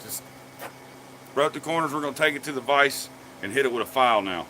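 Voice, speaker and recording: A man's voice: medium pitch, a little fast, bright, forceful tone, slight background noise. A man hosting a blacksmithing live stream, chatting casually and jokingly with viewers as he demonstrates his work in a workshop. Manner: folksy, joking, talks to viewers by name. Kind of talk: casual chat while demonstrating forge work. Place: workshop forge.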